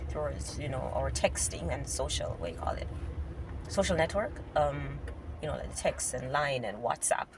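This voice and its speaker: Jamaican woman, lowish voice, congested and nasal, chatty fillers, loud road noise with the mic set back in a car. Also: vocal fry, distant mic. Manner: musing aloud, chatty, with many fillers. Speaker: vlogger. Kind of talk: casual talk to camera while driving. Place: inside a car in city traffic.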